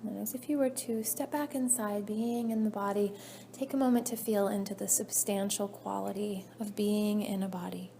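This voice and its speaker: A woman leading a guided meditation as a yoga nidra teacher, soothing and measured, her phrases trailing off softly. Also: mid-range voice, fairly even intonation, vocal fry. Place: indoor class room. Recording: faint background noise, live class recording.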